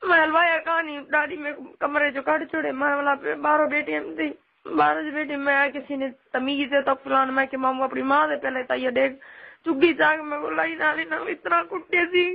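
A woman's voice: high voice, flat delivery, moderate pace, gravelly. A young woman complaining in a casual phone conversation. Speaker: phone caller complaining to her aunt. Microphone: telephone. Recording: tinny, band-limited phone line.

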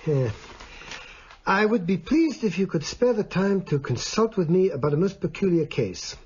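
A gentle Viennese doctor in a radio play. His voice is fairly high and husky, with lively rises and falls, and he questions precisely and formally. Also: normal speed, exaggerated voice. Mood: musing, precise. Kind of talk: radio drama acting. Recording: slightly dull radio recording.

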